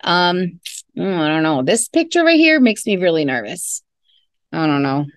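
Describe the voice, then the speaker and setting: A woman's voice: fairly low pitch, very animated, moderate pace, dry recording, studio quality. A middle-aged woman, a teacher reading a picture book aloud with chatty, friendly asides to young listeners. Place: indoors in a classroom.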